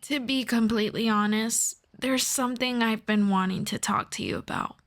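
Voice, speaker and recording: A woman playing a gentle, earnest elf friend in a soft-spoken, measured ASMR fantasy roleplay. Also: fairly high pitch, lightly acted. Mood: calm, confiding. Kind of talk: slow scripted ASMR roleplay.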